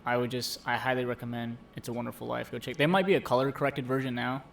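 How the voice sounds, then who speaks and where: A man with a mid-range voice co-hosting a live stream, speaking earnestly and casually. He retells a story with plenty of fillers and restarts. A faint low rumble runs under the recording. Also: fairly even intonation, fast talker, gravelly. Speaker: co-host. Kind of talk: casual chat. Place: home streaming setup.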